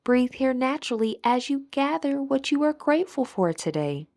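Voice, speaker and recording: A woman leading a guided meditation as an instructor, speaking in a soothing, deliberate manner with gentle pauses. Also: sits high, big pitch swings.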